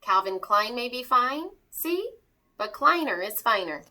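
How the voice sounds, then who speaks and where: A blustering old fellow playing stand-in Santa, as acted out by a woman reader, high and bright. The delivery swoops up and down, full of wisecracks and grumbling storybook bluster. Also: big pitch swings, measured pace, full character voice. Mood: blustering bluff. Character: grumbling bluffing old man reluctantly playing substitute Santa.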